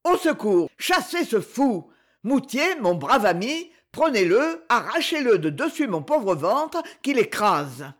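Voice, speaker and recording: A woman reading aloud and voicing a stout, blustering old male general who shouts in panic and fury. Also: medium pitch, sing-song, unhurried. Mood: panicked.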